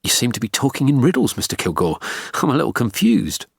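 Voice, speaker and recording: A man's voice: medium pitch, wildly swooping pitch, brisk, gravelly, husky, dry recording, studio quality, voice pushed up, heavily acted. A man in his sixties voicing a breezy, facetious art forger. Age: 60s. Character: breezy, wry sixty-year-old English art forger.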